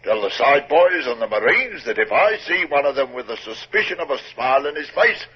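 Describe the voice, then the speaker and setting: An actor playing a stern, loyal British naval first lieutenant, formal and emphatic, in a 1950s radio drama. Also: male voice, medium pitch, big pitch swings, fairly quick, slightly rough. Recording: muffled 1950s radio recording.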